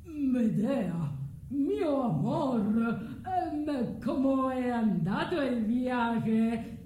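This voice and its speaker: An actress voicing a breezy, dismissive husband who speaks in a stage play. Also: female voice, a little high, lively intonation, extremely slow, mellow. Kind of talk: theatrical character acting. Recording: echoey room, faint background noise.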